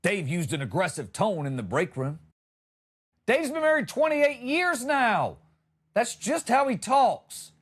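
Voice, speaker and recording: A man with a fairly high voice delivers a comic monologue. His pitch moves up and down a great deal as he makes each point. He sounds exasperated and sarcastic, leaning hard on his words like a podcast host on a rant.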